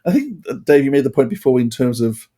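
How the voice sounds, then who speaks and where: A man hosting a casual review chat, rambling and halting, often repeating his phrases. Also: medium pitch, very animated, mellow, breathy edge. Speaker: podcast host.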